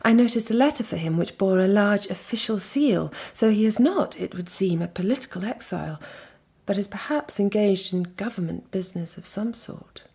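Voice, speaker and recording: An actress playing a resolute young Victorian gentlewoman in a period audio drama, speaking in a measured, articulate and wry way. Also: medium pitch, breathy edge. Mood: wary, confiding.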